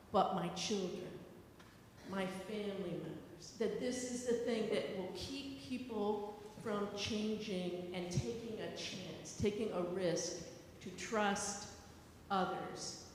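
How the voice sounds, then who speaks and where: Slow, reflective older woman. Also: mid-range voice. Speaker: audience member asking a question. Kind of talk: thoughtful question at a discussion. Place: church hall. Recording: very echoey hall, faint noise.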